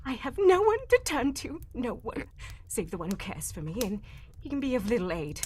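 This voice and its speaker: A woman playing a nervous, desperate young lady in an old-time radio play, halting and formal in her speech. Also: on the high side, very animated, darkish tone, slight background noise. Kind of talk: cold-read live old-time radio drama acting.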